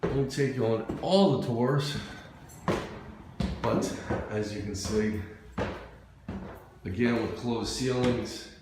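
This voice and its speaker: A man who installs security systems gives a casual, informal walkthrough, speaking haltingly as if thinking aloud. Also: medium pitch. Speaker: security camera and intercom installer presenting a job. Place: walking around an apartment complex building. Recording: echoey, loud ambient and vehicle noise.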